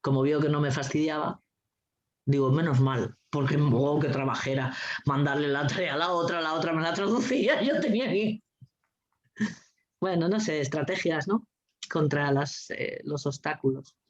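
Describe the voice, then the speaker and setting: A woman giving a lively, anecdotal talk as a guest speaker, blunt and sarcastic, swearing freely and laughing often. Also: low voice, moderate pace. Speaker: guest speaker, teacher trainer. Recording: dull lo-fi recording.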